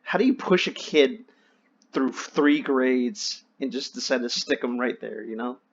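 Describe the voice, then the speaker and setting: A man's voice: mid-range voice, unhurried. Dull lo-fi casual guy chatting. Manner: animated reactions, casual swearing, hesitant restarts. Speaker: host. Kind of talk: casual chat, storytelling. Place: online voice chat in a VR social game. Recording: dull lo-fi voice-chat audio.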